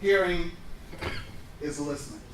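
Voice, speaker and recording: High-voiced man presenting a workshop in a roomy space. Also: a little slow, background noise. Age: 40s. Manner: animated storyteller who acts out dialogue. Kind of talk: workshop talk with personal anecdotes. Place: indoor workshop room with an audience. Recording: roomy room, voice picked up from a distance.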